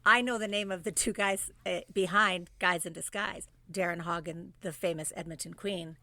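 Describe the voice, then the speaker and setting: A woman's voice: lowish voice, steady tone, moderate pace, bright, forceful tone. A middle-aged woman with a Canadian accent co-hosting a casual, playful chat. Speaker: podcast co-host.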